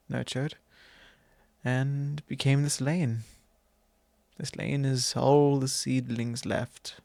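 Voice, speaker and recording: Man playing a slow, gravelly vampire lord. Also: mid-range voice, a little mellow, no echo, heavily acted. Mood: solemn, wistful. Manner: unhurried, formal, genteel, with pauses. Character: courtly possessive male vampire lord, long-lived, fond and wistful. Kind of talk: slow intimate ASMR roleplay monologue. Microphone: close microphone, dry.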